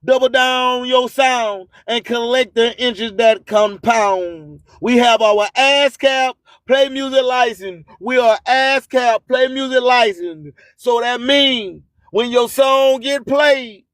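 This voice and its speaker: A man's voice: very high voice, takes it slowly, few pauses, a bit bright, smooth, no echo. A man hosting an internet radio show in an emphatic, declamatory hype style, repeating slogans.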